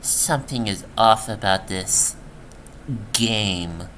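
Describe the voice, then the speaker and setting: A performer voicing an excitable young boy gamer, jokey and uneasy, exclaiming in disbelief in an amateur horror audio drama. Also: low-pitched, slow, slight background noise. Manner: exclaiming, incredulous muttering.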